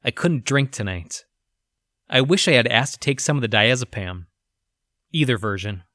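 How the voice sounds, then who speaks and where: A man playing a troubled, guilt-ridden researcher narrating his journal in an audio drama, somber, confessional and halting. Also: mid-range voice, expressive, bright, forceful tone, no echo, clean studio sound. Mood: somber, uneasy. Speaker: voice actor.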